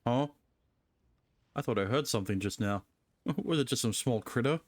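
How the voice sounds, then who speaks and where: A man's voice: mid-range voice, lively intonation, normal speed, no room sound. A man casually commenting on a game as he streams, sometimes reading dialogue aloud.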